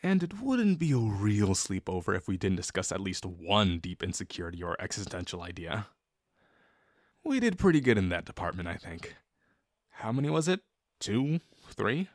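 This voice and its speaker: A man's voice: on the low side, big pitch swings, dry recording, studio quality, exaggerated voice. A man playing a shy, sincere young guy in love with his best friend, speaking hesitantly and intimately to the listener in an audio roleplay. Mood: casual, teasing. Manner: hesitant, halting, full of pauses and sighs. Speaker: voice actor.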